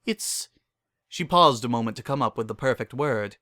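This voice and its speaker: A man's voice: mid-range voice, brisk, bright, forceful tone, no echo, clean studio sound. A man narrating a story as a scripted dramatic reading.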